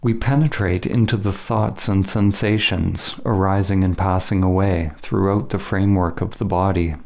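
A man narrating a scripted spiritual meditation as a teacher, in measured, deliberate phrases with short pauses. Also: low-pitched, steady tone, gravelly, a little mellow.

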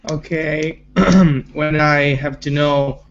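Halting man from Italy, muffled call. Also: a little high, fairly even intonation. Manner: halting, searching for words. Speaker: student. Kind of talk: online class answers. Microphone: video call. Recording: muffled video call audio.

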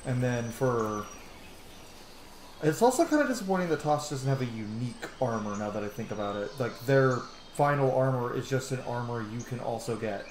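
A man's voice: medium pitch, fairly quick, slight background noise. A man in his thirties giving casual game commentary, musing aloud to himself as he plays. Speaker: gamer streaming a roleplay playthrough. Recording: roomy echo, game music underneath. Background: video game music.

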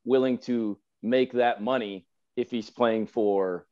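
A man's voice: mid-range voice, fairly even intonation, slow pace, dry recording. A man, debating sports emphatically as a guest, repeating phrases, over dull video-call audio. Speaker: radio play-by-play announcer, podcast guest. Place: room at home on video call.

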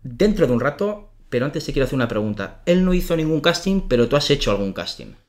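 A man's voice: mid-range voice, big pitch swings, quick pace. A man hosting a podcast, asking probing follow-up questions across a desk in a small office. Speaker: podcast host. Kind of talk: interviewing. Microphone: desk microphone.

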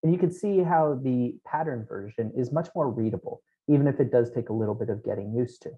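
A man in his thirties talks through code at a remote conference, pausing on ums as he explains each step. His voice is mellow and relaxed, heard through a headset in a small room. The audio is a bit dull and lo-fi. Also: mid-range voice. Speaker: conference keynote speaker. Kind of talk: technical conference talk. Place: small room at home, indoors.

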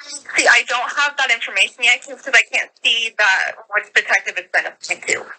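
A woman's voice: fairly high pitch, normal speed, pushed and bright. An American woman handling an official phone call, explaining procedure politely as a sheriff's office call taker.

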